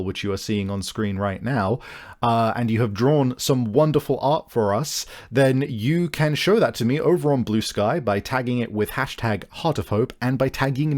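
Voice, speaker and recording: A man's voice: mid-range voice, a little mellow. A man hosting and narrating a tabletop game as game master, chatty and casual, often hesitating and rephrasing. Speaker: game master and show host.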